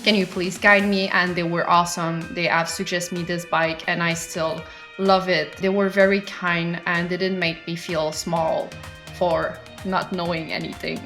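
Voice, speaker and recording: A woman's voice: fairly low pitch, level tone, unhurried, fairly bright tone, soft background music. A woman in her twenties with a French Canadian accent, a native French speaker, chatting casually and unscripted as a vlogger answering questions. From Quebec, Canada. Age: 20s.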